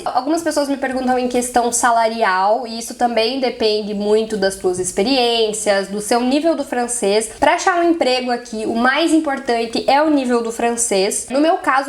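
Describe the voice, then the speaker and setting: A young adult woman with a Brazilian Portuguese accent, talking casually to camera and linking her thoughts with easy connecting words. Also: on the high side. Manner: chatty, strings thoughts with "e aí". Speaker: vlogger.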